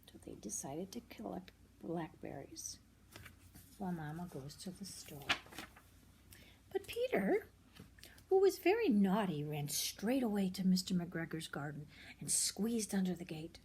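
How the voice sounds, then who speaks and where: An older woman reads a children's picture book aloud expressively, pausing to explain things simply to young listeners. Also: fairly low pitch, very animated, darkish tone, a little hiss or hum. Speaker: storyteller grandmother reading aloud.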